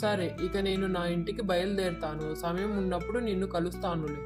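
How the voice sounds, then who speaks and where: A woman's voice: lowish voice, steady tone, a little fast, over music, natural voice. A woman voicing an earnest young village man in a moral tale. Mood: apologetic, friendly.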